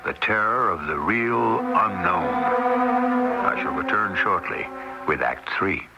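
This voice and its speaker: American guy narrating old radio mystery, low ominous voice, theatrical, big music behind. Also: animated, slow pace, lo-fi sound. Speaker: host and narrator. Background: loud music.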